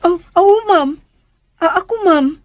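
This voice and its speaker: Meek, high-voiced young servant. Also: female voice, slow, no room sound, highs cut off. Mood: timid. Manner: hesitant, stammering, pleading. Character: meek, gentle young servant woman, anxious peacemaker. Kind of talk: radio drama acting in Cebuano.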